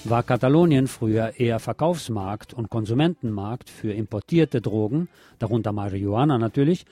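A man's voice: medium pitch, expressive, moderate pace, dark tone, dry recording. A man presenting scripted radio news with a wry, colloquial touch. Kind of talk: scripted news magazine narration.

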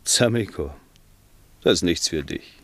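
Radio play casting: indulgent island man of few words, low gravelly voice, unhurried. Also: animated, dry recording, full character voice. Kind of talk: German radio play acting.

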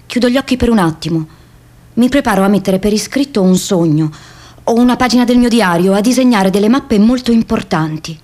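A woman's voice: mid-range voice, expressive, fairly quick, warm tone, natural voice. An actress playing a restless, imaginative young American woman in an introspective, vivid radio theatre monologue. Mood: reflective. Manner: introspective, vivid first-person storytelling. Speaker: stage actress.

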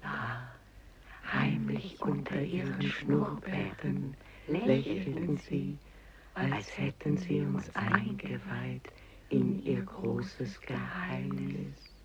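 An actress playing a weary, secretive old peasant woman in a poetic radio drama, in halting phrases between whisper and cry. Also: very deep voice, expressive, over a noisy crowd, full character voice. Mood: hushed, secretive. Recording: dull lo-fi radio recording, loud music. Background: loud music.

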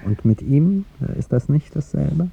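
An actor playing an aging president in a 1950s German radio play, a resigned older lover speaking with hesitant, dry irony. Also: male voice, fairly high pitch, expressive, measured pace, creaky, dark tone, slightly rough, exaggerated voice. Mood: wry, wounded. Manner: understated, hesitant, dryly wry. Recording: dull, noisy 1950s radio recording.

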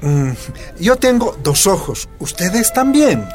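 Slow-talking, expressive Bolivian father. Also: high voice, music in the background, full character voice. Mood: tender, reassuring. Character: loving Bolivian father, stubborn union man, gently reasoning. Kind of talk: radio soap opera acting.

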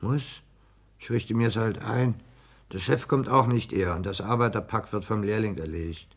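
Husky, touchy lovesick barber. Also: male voice, fairly low pitch, moderate pace. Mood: gloomy, insistent. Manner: aggrieved, brooding, flares into passion. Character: frail, touchy, exalted lovesick young barber. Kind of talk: 1960s German radio play acting.

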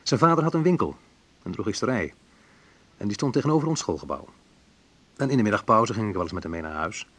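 An actor playing a reflective man in a naturalistic radio drama, talking in a conversational, hesitant, wry way with a husky voice. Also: on the low side, animated, quick pace, long pauses, fairly bright tone. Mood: wry, nostalgic. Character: Menno, reflective man recalling a school friend. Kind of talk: naturalistic radio drama monologue and phone talk.

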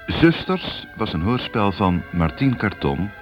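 A man's voice: lowish voice, very animated, measured pace. A man with a Netherlands Dutch accent reading scripted radio drama credits as the announcer. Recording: dull radio recording with music. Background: music.

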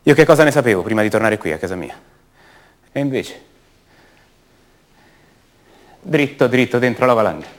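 An actor playing an ordinary, self-doubting man back home, speaking a halting, conversational monologue in a radio drama. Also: medium pitch, lively intonation, natural voice. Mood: questioning, uneasy. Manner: halting, conversational, rhetorical questions to the listener.

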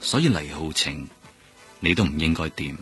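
An actor with a Hong Kong accent playing a world-weary, cynical veteran police detective, blunt and sardonic, in a radio drama. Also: male voice, very deep voice, lively intonation, normal speed, a bit bright. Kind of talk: Cantonese radio drama acting. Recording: music bed behind the voice.

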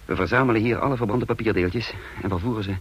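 A man's voice: lowish voice, quick pace, slightly rough, muffled recording. An actor playing a tense, wounded secret agent who narrates his own mission in a radio drama. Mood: businesslike, strained. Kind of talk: radio drama acting and first-person narration.

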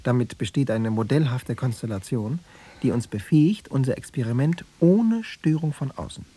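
A man in a radio play acting a smooth, insinuating East German secret police officer, falsely reassuring and bureaucratic. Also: medium pitch, animated, moderate pace, mellow, in a large hall, lightly acted. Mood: pedantic, eager.

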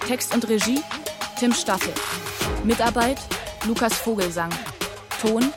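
A woman's voice: fairly high pitch, a little slow. A woman reading scripted radio drama credits as an announcer. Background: loud music.

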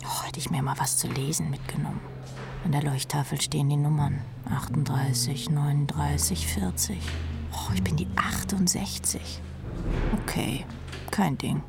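A deep, creaky-voiced woman, a jaded chain-smoking rock drummer. She murmurs her sardonic thoughts breathily and confidingly, as a radio drama monologue over clear music. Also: steady tone, normal speed, in a whisper. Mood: sardonic, murmuring. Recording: music behind the voice.

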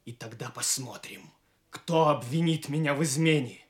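An actor playing a proud, defiant noble knight, declamatory and commanding, in a theatrical radio play. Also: male voice, mid-range voice, normal speed, close to an everyday voice.

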